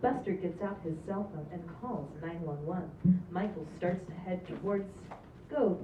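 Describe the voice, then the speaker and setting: A woman narrates screen directions at a live table read with an amused touch. She is heard from some distance in an echoey space, with clear background noise and a slightly dull sound. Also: fairly low pitch, unhurried. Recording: distant, echoey, muffled, noisy live recording.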